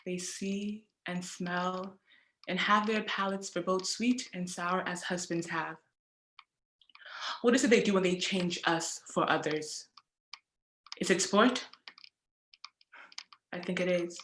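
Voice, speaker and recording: A woman's voice: fairly low pitch, fairly bright tone. Woman acting a defiant Shakespearean wife in echoey room. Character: wronged Shakespearean wife, defiant and questioning about unfaithful husbands. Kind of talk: classical stage monologue, acting class. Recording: echoey room, noisy with keyboard clicks.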